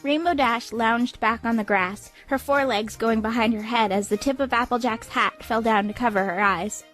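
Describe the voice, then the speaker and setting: A woman's voice: fairly high pitch, normal speed, a bit bright, soft background music. A woman reading scripted story narration as the narrator of an audio drama.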